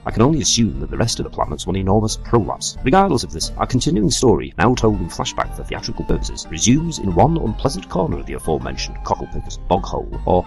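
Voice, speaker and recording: A mock-instructive storyteller played by a man in a comic audio drama. His low, creaky voice moves in lively swings as he narrates drily and wordily.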